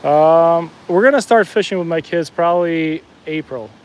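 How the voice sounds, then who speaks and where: Chatty New Jersey-accented man, slightly high. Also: moderate pace, clear, resonant tone, poor audio. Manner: chatty, answers viewer comments, frequent restarts. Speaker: fishing livestream host. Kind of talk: casual live-stream chat while fishing. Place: outdoors at a fishing spot, walking the bank.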